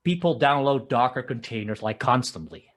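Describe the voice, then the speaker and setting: A man's voice: medium pitch, lively intonation, normal speed. A man from the Netherlands with a Dutch accent, giving a conversational technical conference talk and often restarting his phrases. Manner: conversational, with frequent restarts and filler "uh". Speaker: conference speaker. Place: home office, construction noise outside.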